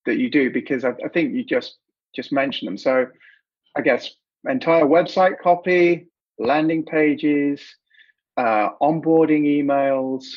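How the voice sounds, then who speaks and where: Casting: British man as interview host, husky voice, halting and friendly, dull lo-fi call sound. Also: a little high. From United Kingdom. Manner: halting, restarts often, friendly. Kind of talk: casual interview questions.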